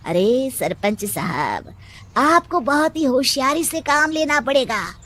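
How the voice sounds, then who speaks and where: A woman voicing a sly, scheming male adviser to a village headman in an animated moral story, insinuating and chuckling. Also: high voice, slow, exaggerated voice.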